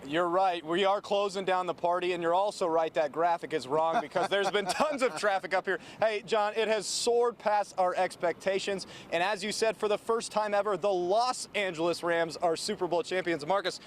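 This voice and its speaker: High-voiced American male reporter, outdoors. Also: moderate pace, few pauses. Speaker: sports reporter. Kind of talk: live on-location report, conversational. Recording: faint outdoor traffic rumble.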